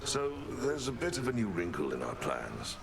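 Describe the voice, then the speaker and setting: A man playing a grave, composed strategist in a video game, speaking in measured, formal, understated lines. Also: lowish voice, wildly swooping pitch, creaky, background noise, exaggerated voice. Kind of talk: scripted video game dialogue.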